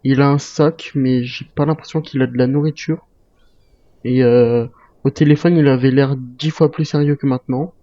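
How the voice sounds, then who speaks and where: A man's voice: medium pitch, steady tone, moderate pace, dark tone, no room sound, highs cut off. A man speaking in improvised game roleplay, commanding and suspicious in manner. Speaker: gamer in a zombie survival roleplay.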